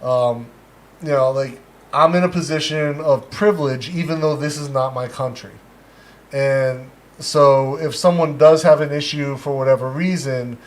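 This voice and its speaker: American guy doing a casual livestream, kinda thoughtful and measured, just talking things through. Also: medium pitch, steady tone. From United States. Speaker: livestream host.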